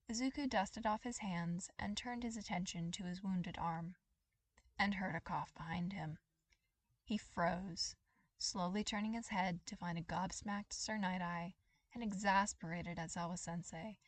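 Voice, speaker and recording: A woman reading a story aloud as scripted, expressive dramatic narration. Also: on the low side, a little slow, no echo. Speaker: podfic reader.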